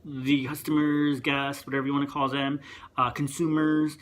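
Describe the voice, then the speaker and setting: A young man with a higher voice that gets mistaken for a woman's, rambling through a casual rant and interrupting himself. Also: level tone, moderate pace, a bit bright. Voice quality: higher voice, sometimes heard as female. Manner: rambling, chatty, self-interrupting. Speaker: vlogger.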